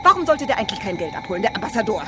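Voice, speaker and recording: An actress playing a tough, hot-tempered police investigator who is blunt, commanding and sarcastic, in a radio crime drama. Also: female voice, mid-range voice, very animated, very fast, background noise, natural voice. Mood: furious, shouting.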